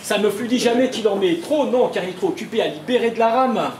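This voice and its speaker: Dude with a very high voice roasting his opponent in a rap battle, echoey room. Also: fast talker, darkish tone. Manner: insulting, punchline-driven delivery. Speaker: battle rapper. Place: event hall. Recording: echoey hall with background noise.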